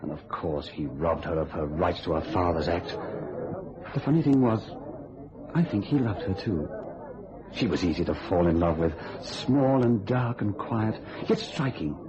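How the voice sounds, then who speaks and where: An actor playing an earnest, worried stagehand in a radio thriller, speaking in a hesitant manner. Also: male voice, low voice, very animated, unhurried. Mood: wistful. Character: earnest, worried stagehand who builds magic tricks, lovesick. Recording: muffled radio recording, heavy hiss.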